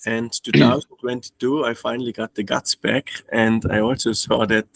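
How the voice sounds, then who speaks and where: A man's voice: medium pitch, steady tone, moderate pace, creaky, no room sound, poor audio. Man in his thirties. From Austria. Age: 30s. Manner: hesitant, restarts often, non-native phrasing. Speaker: guest trading student. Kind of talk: casual interview.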